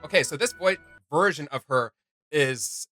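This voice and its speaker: A man's voice: fairly high pitch, expressive, unhurried, sharp tone, soft background music. A man hosting a casual reaction video, talking as an enthusiastic fan who gushes over what he is reacting to. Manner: enthusiastic, gushing.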